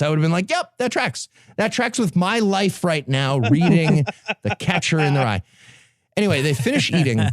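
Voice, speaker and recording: Guy recapping a story on a comedy podcast, super animated and sarcastic, dry close sound. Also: on the high side, dry recording. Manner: sardonic, sweary, emphatic. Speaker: podcast presenter. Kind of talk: comic, sardonic summary of a novel.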